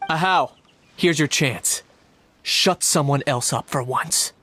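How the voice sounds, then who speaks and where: A male actor playing a cool, sceptical young adventurer in a video game, deadpan and dryly cutting. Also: fairly high pitch, slow pace, slight background noise. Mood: coldly scornful. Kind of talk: video game cutscene voice acting.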